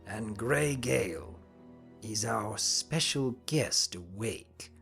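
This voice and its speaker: A man's voice: lowish voice, animated, slow pace, vocal fry, fairly bright tone, faint music behind, heavily acted. A man voicing a commanding, devoted pony cult leader in a fantasy story, grandiose and ceremonial. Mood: brisk authority.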